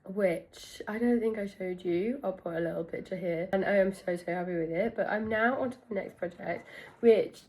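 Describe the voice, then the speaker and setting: Young British woman, husky and hoarse, chatty, self-deprecating vlogger delivery. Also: medium pitch, normal speed, a little mellow, rough recording. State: hoarse from being ill. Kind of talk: casual vlog chat to camera.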